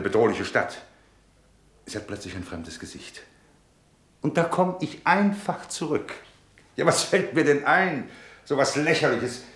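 A man's voice: on the low side, fairly bright tone. An actor playing a middle-aged, weary and authoritative businessman husband in a German radio play, tense and clipped. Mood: weary, bitter. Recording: echoey room.

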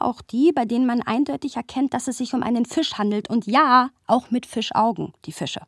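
A woman's voice: mid-range voice, dry recording, clean studio sound. An actress playing a thoughtful, playful young woman who narrates a literary radio play. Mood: playful, matter-of-fact. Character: thoughtful, playful young woman recounting her close male friend. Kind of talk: literary scripted narration with lively dialogue.

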